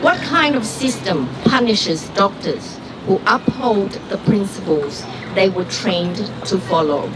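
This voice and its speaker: A woman from Vietnam, giving an earnest, deliberate prepared speech at an outdoor rally. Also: mid-range voice, lively intonation. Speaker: rally speaker, doctor. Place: outdoor street gathering. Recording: far-off street recording with traffic noise.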